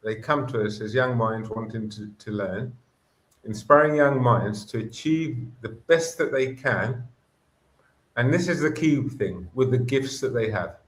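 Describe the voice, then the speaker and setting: A man whose first language is Greek speaks about schooling with earnest passion and often restarts his sentences. His voice sits at a middle pitch and rings out in a roomy, echoing space. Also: measured pace. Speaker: guest educator, former school principal. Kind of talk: conversational discussion.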